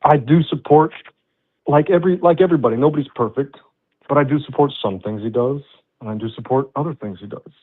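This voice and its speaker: A man in his thirties calling into a political stream, laying out his view in a measured, hesitant way with frequent restarts. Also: mid-range voice. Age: 30s. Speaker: caller. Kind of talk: casual debate on a call. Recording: tinny phone-like call audio.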